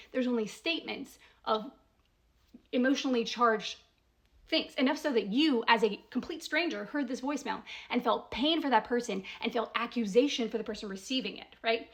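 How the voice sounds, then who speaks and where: A woman with a slightly high voice that often crackles into fry. She talks as a commentator working through an argument in casual, deliberate steps. She leans on key words, poses probing questions and tags her points with little checks for agreement. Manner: probing rhetorical questions, frequent "right?" tags. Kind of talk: casual spoken analysis.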